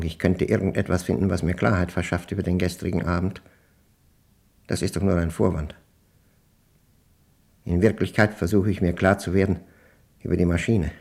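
An actor playing a brooding, suspicious old widower who speaks a halting, introspective diary monologue in a German radio play. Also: male voice, sits low, gravelly, a little mellow, breathy edge, full character voice. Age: older. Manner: halting, deliberate, broken phrases.

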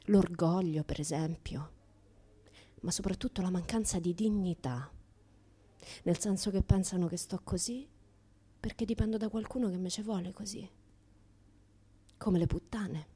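An actress playing a self-styled naive, defiant young woman in a colloquial radio theatre monologue, with a Roman Italian accent, swinging between hushed asides and louder outbursts. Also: fairly low pitch, unhurried, long pauses, husky. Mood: indignant.

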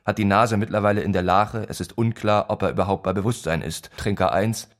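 A man narrating a literary radio play about village life in a sombre, measured, deliberate way. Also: on the low side, close to an everyday voice. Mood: dry, detached.

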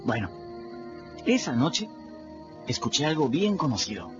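An actor playing a plucky, rough-edged teenage river boy who tells his own story in a chatty, folksy way, talking straight to the listeners. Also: mid-range voice, sing-song, a little slow. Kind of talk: first-person radio play narration. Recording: dull radio recording with music behind.

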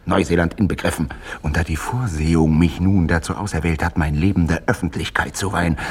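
Fanatical aspiring politician: deep, rough-edged voice, oily courtesy, pompous speeches, radio drama. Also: male voice, exaggerated voice. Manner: grandiloquent, unctuous, deliberate rhetoric.

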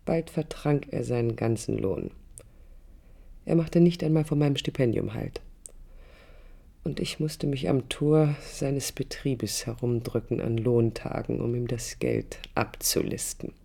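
An actress playing a disillusioned young woman architect in a radio drama, recalling her life in a low, husky, intimate monologue. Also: a little slow, dark tone, heavily acted. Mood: bitter, sorrowful. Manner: reflective, intimate, literary.

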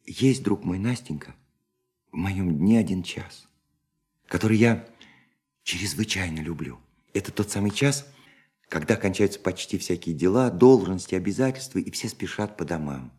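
A lonely young dreamer in a literary radio play. His voice is deep and husky, and he pours out his heart in flowery, bookish phrases, broken by dramatic pauses. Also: a little mellow. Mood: fervent, tender. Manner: ornate, bookish, impassioned speech with dramatic pauses.

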